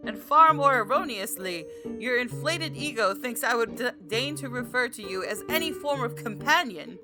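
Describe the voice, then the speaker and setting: A woman's voice: on the high side, lively intonation, normal speed, music in the background, lightly acted. A woman voicing a haughty, menacing female monster in a visual novel, with a masculine tone and pompous, scornful delivery. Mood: haughty contempt. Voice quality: somewhat masculine.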